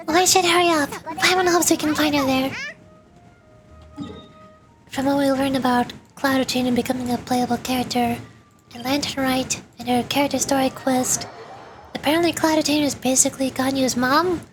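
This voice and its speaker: A woman streaming a game playthrough, chatting casually and reading the game's dialogue aloud in a flat, steady way. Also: sits high, moderate pace, music in the background.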